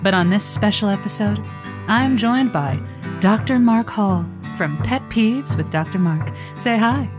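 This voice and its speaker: A woman's voice: medium pitch, lively intonation, measured pace, over music, lightly acted. A woman playing a teasing, flirty late-night radio host in an audio drama, prickly when off the air. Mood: sultry, playful.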